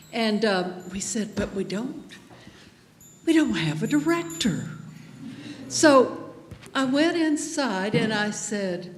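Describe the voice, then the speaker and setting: A woman giving an informal, chatty and halting speech to an audience as an event organizer, talking into a stage microphone. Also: a little high. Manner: chatty, halting, addressing the room. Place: large echoey hall with an audience. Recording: echoey hall, audience noise, faint hiss.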